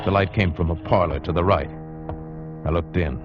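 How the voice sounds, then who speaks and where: An actor playing an adventurer who narrates his own ordeal in a dramatic, halting, suspenseful radio style. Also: low voice, over music, natural voice. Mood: tense, hushed. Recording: dull old radio broadcast recording.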